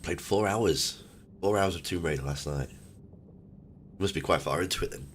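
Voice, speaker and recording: A man's voice: low-pitched, normal speed. A middle-aged man chatting casually and a little hesitantly as he hosts a game stream. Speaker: game streamer hosting a multiplayer chat. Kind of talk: casual chat while gaming. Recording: loud gunfire and game noise behind.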